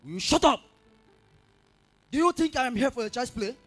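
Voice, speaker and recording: A man's voice: fairly high pitch, brisk, darkish tone. A man playing a boastful young campus bully who threatens a woman.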